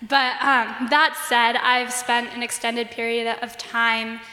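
A woman's voice: fairly high pitch, level tone, takes it slowly, bright, forceful tone. A young woman who grew up in Bosnia and Herzegovina, speaking on a student panel in an earnest, upbeat way. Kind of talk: casual panel talk. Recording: echoey hall.

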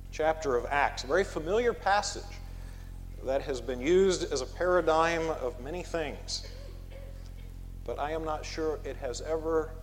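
Male pastor, mid-pitched, measured pulpit delivery with emphatic rises, long church reverb. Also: slight background noise. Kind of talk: expository sermon. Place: church sanctuary.

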